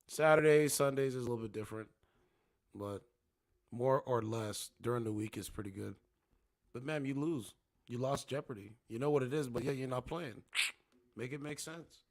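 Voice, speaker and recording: A man's voice: medium pitch, normal speed, long pauses. A man in his twenties hosting a livestream, talking casually in slang and repeating himself as he lectures. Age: 20s. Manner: slangy, repetitive, preachy. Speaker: livestream host.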